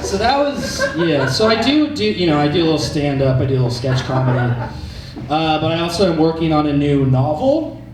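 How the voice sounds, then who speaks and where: A young man from Southern California doing a stand-up set, excitable and shouty, often laughing at his own jokes. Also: a little high, fairly quick, background noise. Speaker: stand-up comedian. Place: small comedy venue with live audience. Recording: echoey room from far off, loud crowd.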